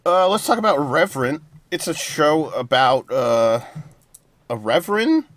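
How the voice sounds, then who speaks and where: Casual podcast co-host, a man with a slightly higher voice, dry close mic, loose rambling delivery. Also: sing-song, unhurried, fairly bright tone, no room sound. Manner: rambling, loose plot summaries with many fillers. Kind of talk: casual TV review chat.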